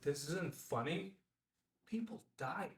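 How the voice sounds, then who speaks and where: A man's voice: a little high, expressive, slow pace. A man acting the part of a charismatic bestselling mountaineer in his late thirties at a table read, speaking in a theatrical, weighty way. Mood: indignant, angry. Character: charismatic best-selling mountaineer, late thirties, slick and self-mythologizing. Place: indoor room at a filmed table read. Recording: echoey room, distant microphone.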